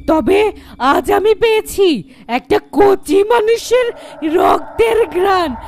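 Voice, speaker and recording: A menacing female ghost from a horror cartoon, extremely high in pitch. She stretches her words slowly and theatrically, taunting and vengeful. There is some noise and ambience behind her. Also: animated, a little mellow, exaggerated voice. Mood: angry, menacing. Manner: drawn-out, taunting, theatrical delivery.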